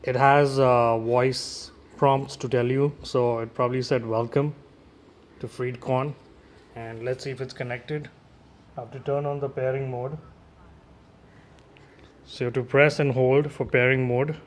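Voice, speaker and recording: A man's voice: medium pitch, little pitch movement, measured pace, pauses often. A man giving a casual, informal unboxing and demo as a gear reviewer, addressing his viewers directly.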